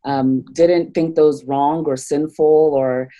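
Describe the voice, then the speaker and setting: A woman's voice: low voice, steady tone, takes it slowly, mellow, muffled recording. A woman born in Nigeria, speaking as a guest in an interview, thoughtful and hesitant, with many fillers and restarts. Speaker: theologian guest. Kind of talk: reflective interview conversation.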